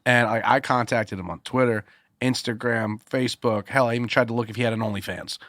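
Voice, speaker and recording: A man, a singer-songwriter guest, telling animated stories in casual podcast chat with lots of fillers and laughter. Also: medium pitch, brisk, no room sound, clean studio sound.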